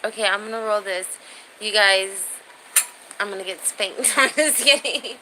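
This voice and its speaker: A woman's voice: mid-range voice, unhurried. A woman in her thirties chatting casually on a livestream, talking straight to viewers and reading their comments, with slangy, profane language. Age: 30s. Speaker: live streamer chatting with viewers. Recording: faint background noise.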